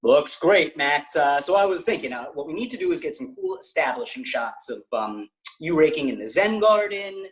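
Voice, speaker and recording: A man's voice: fairly high pitch, very animated, moderate pace, low-quality recording. A stammering teen boy. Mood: hesitant. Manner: hesitant, stammering restarts. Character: quick-witted teen boy, aspiring filmmaker. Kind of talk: table read of a sitcom script.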